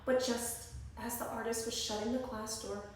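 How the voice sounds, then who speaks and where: A woman teacher reading a story aloud to young children, expressively, pausing to ask them questions. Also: a little high, little pitch movement. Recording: very echoey room, distant mic, faint noise.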